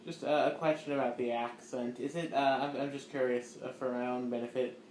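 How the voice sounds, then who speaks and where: A man's voice: medium pitch, steady tone, fairly quick, darkish tone, roomy sound, slight background noise, rough recording. A man interviewing for an oral history, asking curious, polite questions with some fillers.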